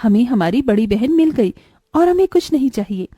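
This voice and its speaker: Woman playing a meek, high-voiced young stepsister. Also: sing-song, moderate pace, dark tone, slight background noise. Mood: gentle, earnest. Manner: earnest, pleading, respectful. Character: meek, loyal young stepsister, forgiving and earnest. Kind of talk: Hindi cartoon moral story acting.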